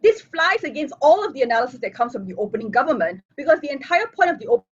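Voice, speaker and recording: A woman's voice: sits high, very animated, very fast. A woman giving a fluent, structured competitive debate speech as opposition whip over a video call. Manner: fluent, structured argument, formal debate phrasing. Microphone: computer mic on a video call. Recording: muffled lo-fi online call audio.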